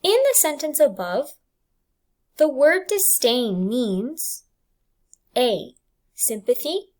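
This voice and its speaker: A woman teacher reading test questions and answer choices aloud in a deliberate, enunciated way for students. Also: high-pitched, sing-song. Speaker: English language arts teacher.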